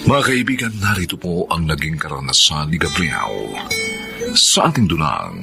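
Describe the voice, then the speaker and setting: A man's voice: rumbling low, very animated, moderate pace. A man with a Filipino accent giving solemn scripted narration for a radio drama. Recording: clear music behind.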